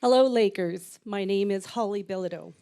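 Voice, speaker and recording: A woman giving an earnest, measured campaign speech as a council candidate in a community rec center. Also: mid-range voice, warm tone. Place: community recreation center hall.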